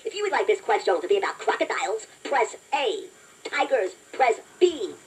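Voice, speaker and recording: A woman voicing a chatty, playful toy robot that hosts a children's quiz, encouraging the listener in a deliberate, self-deprecating way. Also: a little high, sing-song, husky, exaggerated voice. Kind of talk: scripted children's quiz narration. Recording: noisy toy cassette playback, distant from mic.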